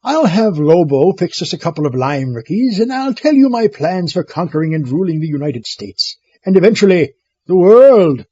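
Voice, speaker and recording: A man's voice: high-pitched, big pitch swings, moderate pace, dark tone, breathy edge, no echo, highs cut off, exaggerated voice. A man playing a pompous, gleeful mad scientist who wants to be dictator, haughty and cackling, in a comedy audio drama. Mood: gleeful scheming.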